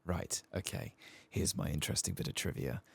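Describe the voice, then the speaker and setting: A soft-spoken man with a gravelly, husky voice, giving casual ASMR commentary as he plays a game. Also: sits low, fairly quick. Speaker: game streamer. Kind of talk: soft-spoken ASMR gaming commentary, reading quest text aloud.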